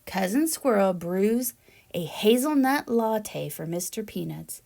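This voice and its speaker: A woman reading a children's picture book aloud in a deliberate, expressive storytelling manner. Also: medium pitch, gravelly. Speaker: read-aloud storyteller, teacher-like presenter.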